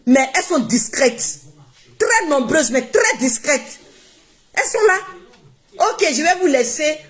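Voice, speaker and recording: A woman's voice: sits high, sing-song, measured pace. Emphatic woman live streaming, non-native French. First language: not French. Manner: emphatic, repeats herself. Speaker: social media live streamer. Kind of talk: casual live-stream monologue.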